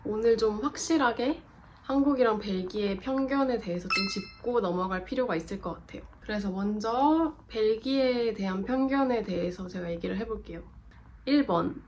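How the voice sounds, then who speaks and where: A woman's voice: mid-range voice, moderate pace, background noise. A young Korean woman with a cold, co-hosting and chatting while she explains things casually. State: has a cold. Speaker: co-host of a couple channel. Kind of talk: casual chat.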